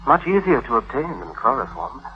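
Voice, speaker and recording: A slightly dull old radio recording. A man plays a young tutor who schemes as he talks, smooth and insinuating, choosing his words deliberately in period drama style. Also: mid-range voice, sing-song, soft background music, natural voice. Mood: furtive, probing. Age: young adult. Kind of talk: 1950s radio drama acting.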